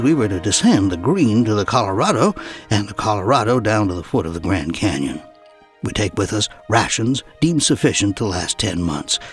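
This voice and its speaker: A man narrating an explorer's journal as an audiobook, telling it in a measured, dramatic way with deliberate pauses. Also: medium pitch.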